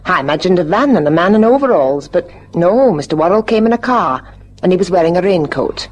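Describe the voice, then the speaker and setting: An actress playing a trusting, talkative widowed housewife in a vintage radio drama, with faint noise on the recording. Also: female voice, fairly low pitch, lively intonation, fairly quick, a little mellow. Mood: confiding, chatty. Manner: rambling, conversational. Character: chatty, genteel widowed housewife, trusting and forthcoming.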